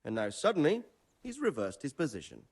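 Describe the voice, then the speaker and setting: An actor playing a wary, fatherly middle-aged medieval nobleman in a game cutscene, speaking in a measured, earnest way. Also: medium pitch, huge pitch swings, husky, heavily acted. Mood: explaining. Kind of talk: video game cutscene acting.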